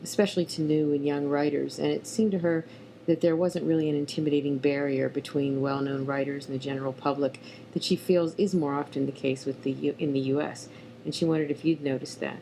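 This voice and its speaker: A woman with a low, gravelly, mellow voice poses prepared questions in a measured, deliberate way. A faint hiss of noise sits under her voice. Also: flat delivery, low-quality recording. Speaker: interviewer. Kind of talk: reading prepared interview questions.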